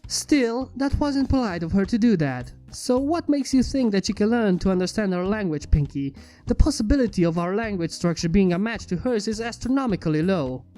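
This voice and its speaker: A woman's voice: mid-range voice, expressive, brisk, mellow, lightly acted. A woman voicing a studious, earnest pony princess with a precise, formal, inquisitive manner in a fan fiction story reading. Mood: skeptical. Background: background music.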